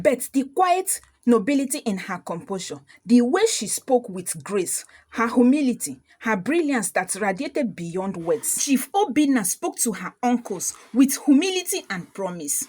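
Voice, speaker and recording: Female storyteller, higher register, highly animated intonation, solemn and measured scripted narration.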